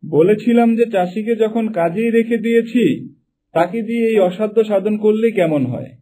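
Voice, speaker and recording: A man voicing a cunning, flattering royal minister in a cartoon, sly and obsequious. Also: high voice, normal speed, natural voice. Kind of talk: Bengali cartoon dubbing.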